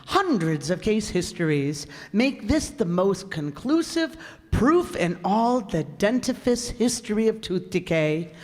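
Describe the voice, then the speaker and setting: A woman's voice: mid-range voice, big pitch swings, unhurried, a little mellow. A woman reading a vintage radio commercial as an announcer, with an emphatic, declarative sales delivery. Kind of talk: 1940s radio advertisement reading. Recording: very echoey hall stage recording.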